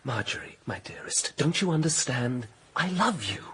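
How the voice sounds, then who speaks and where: An actor playing an impulsive young suitor, pleading and breathy, in a radio mystery drama. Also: male voice, medium pitch, sing-song, normal speed, fairly bright tone, a little hiss or hum. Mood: ardent, pleading. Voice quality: husky, breathy.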